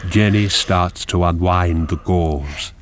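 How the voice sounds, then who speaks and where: A man narrating a radio drama, reading scripted scene descriptions in a measured, descriptive way. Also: low-pitched, a little hiss or hum. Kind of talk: scripted screenplay narration in present tense.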